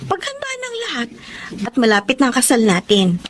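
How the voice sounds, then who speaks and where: A high-voiced devoted young bride-to-be. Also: female voice, huge pitch swings, a little fast. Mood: worried, pleading. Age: young adult. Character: devoted young bride-to-be, dutiful but determined daughter. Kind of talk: Filipino radio drama acting. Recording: faint background noise.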